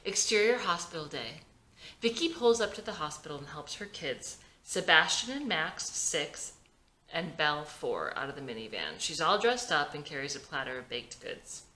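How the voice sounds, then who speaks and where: A woman's voice: low-pitched, big pitch swings, moderate pace, pushed and bright. A woman reading a screenplay aloud as scripted narration, in a roomy, echoing recording.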